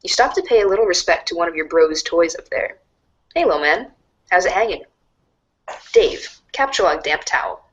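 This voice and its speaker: A woman reading narration aloud in an animated storybook style for a reader's theater. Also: lowish voice, moderate pace, highs cut off.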